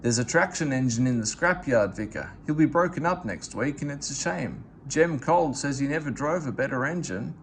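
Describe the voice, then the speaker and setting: A man's voice: medium pitch, lively intonation, moderate pace, few pauses, a little hiss or hum, natural voice. A man doing a worried train driver.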